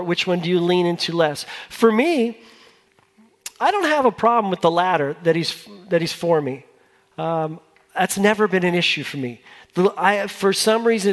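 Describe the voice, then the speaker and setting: A man preaching as a pastor in an informal, conversational style, repeating phrases for emphasis and putting questions to his listeners. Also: sits high. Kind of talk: conversational sermon. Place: large church hall with a congregation. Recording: long hall reverb.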